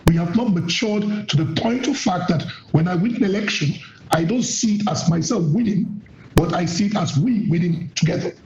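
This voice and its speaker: A hoarse man calling in as a security expert, his voice a little high and worn down. He lays out his views in a measured, firm way. The remote line sounds dull and roomy, with faint noise behind it. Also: darkish tone. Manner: measured, opinionated. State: voice worn, unwell. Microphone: remote phone or online link.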